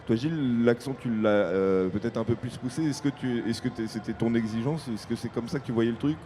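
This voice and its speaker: A man's voice: medium pitch, a little fast, background noise. A man with a Parisian accent interviewing guests, friendly and a little hesitant, laughing easily. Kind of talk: casual interview. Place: outdoors at a fan convention.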